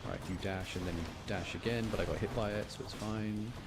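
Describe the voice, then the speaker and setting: Low-voiced man streaming a game. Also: fast talker, heavy background noise. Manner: chatty running commentary, talking to viewers. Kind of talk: casual live gameplay commentary. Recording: music behind the voice.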